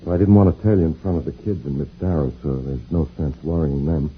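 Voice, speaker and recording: Earnest spaceship engineer, tinny vintage radio. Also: male voice, a bass voice, quick pace, breathy edge, heavily acted. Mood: grave. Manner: earnest, respectful, grave. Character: worried, diligent spaceship flight engineer, deferential to superior. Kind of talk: old-time radio serial acting. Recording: tinny old radio recording, faint hiss.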